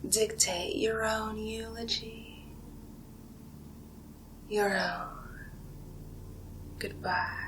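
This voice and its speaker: Woman acting a smug vampire villainess, breathy asmr whisper, crawling hypnotic pace, clear noise behind her. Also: mid-range voice, fairly even intonation. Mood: mocking, gentle. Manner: slow, hypnotic, lingering pauses and repetition. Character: condescending seductive female vampire feeding on human prey.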